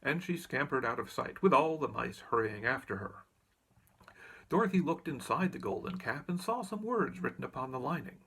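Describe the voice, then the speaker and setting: A man's voice: medium pitch, animated, normal speed, creaky, a bit bright, breathy edge, low-quality recording. A man reading a children's story aloud as narrator. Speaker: audiobook reader.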